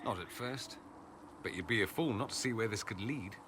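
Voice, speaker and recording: An actor playing an urbane, sardonic foreign mage in a video game, with dry, theatrical wit. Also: male voice, lowish voice, normal speed, fairly bright tone, slight background noise, lightly acted. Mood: wry, cautioning. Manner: witty, dry, theatrical sarcasm. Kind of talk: video game dialogue acting.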